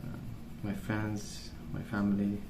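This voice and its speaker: A soft-spoken man rambling in an ASMR style, with a hesitant, meandering and unhurried way of recollecting. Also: fairly low pitch, monotone. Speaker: ASMR video host. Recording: roomy, distant mic, noisy background. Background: pencil colouring sounds, background noise.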